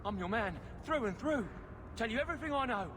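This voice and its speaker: A man's voice: extremely high pitch, expressive, fairly quick. A man playing a scrappy, streetwise urchin boy in a video game, rambling and eager for coin. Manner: rambling, streetwise, simple-spoken. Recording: clearly noisy game ambience.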